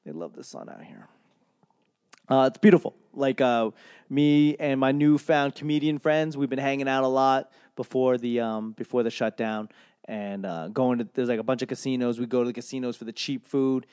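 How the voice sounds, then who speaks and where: A young comedian from Boston giving a casual, profane, rambling rant in a solo monologue. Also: male voice, mid-range voice, normal speed, a little mellow, smooth, no room sound. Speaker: comedian and podcaster.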